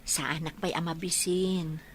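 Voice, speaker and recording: An actress playing a young pregnant wife, dutiful and a little whiny, with an Ilocano Filipino accent in radio drama style. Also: female voice, sits low, steady tone, a little slow, a little hiss or hum. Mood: craving, affectionate. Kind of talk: radio comedy-drama acting.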